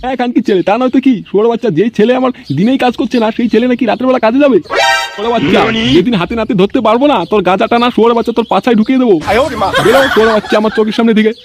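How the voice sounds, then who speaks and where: A man's voice: extremely high pitch, steady tone, racing, music in the background. A man voicing a bad-tempered, stingy middle-aged village father in a comic cartoon, scolding and threatening with crude curses. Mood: furious.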